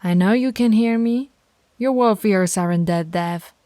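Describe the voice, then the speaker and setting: A woman's voice: mid-range voice, unhurried, mellow, no echo, natural voice. A woman voicing a stern, teasing alpha werewolf pack leader in an audio roleplay, authoritative and taunting. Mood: teasing, predatory.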